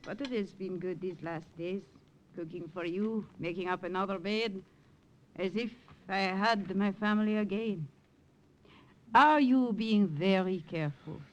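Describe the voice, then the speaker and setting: An actress playing a gentle, motherly French village widow in a radio drama, speaking with a French accent. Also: medium pitch, unhurried, lightly acted, poor audio. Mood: wistful. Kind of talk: 1950s radio drama acting. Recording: hissy old radio recording.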